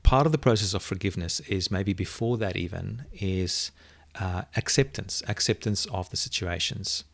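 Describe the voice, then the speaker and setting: A middle-aged man whose first language is Afrikaans, reflectively telling a personal story as an interview guest and often pausing to search for words. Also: low voice, creaky, fairly bright tone, no room sound. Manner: thoughtful, searching for words, many restarts.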